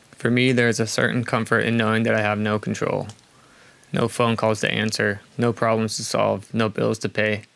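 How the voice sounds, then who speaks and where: A man reading scripted, reflective voice-over narration in a calm, measured way. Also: lowish voice, vocal fry, no echo.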